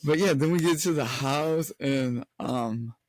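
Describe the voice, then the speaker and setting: A man's voice: on the high side, unhurried, no room sound. A young man recounting stories in a casual chat, telling them loosely and informally, piece by piece. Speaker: co-host.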